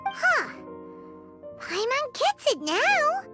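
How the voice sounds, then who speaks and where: A woman voicing a tiny, childlike, excitable fairy companion with a squeaky voice in an anime video game. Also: very slow, loud music behind the voice, heavily acted. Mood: satisfied, explaining. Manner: excitable, refers to self in third person. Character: tiny childlike floating fairy girl companion, chatty and blunt.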